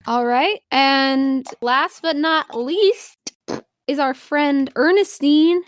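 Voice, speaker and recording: A woman's voice: sits high, takes it slowly. A woman speaking casually and encouragingly as game master, narrating a tabletop game.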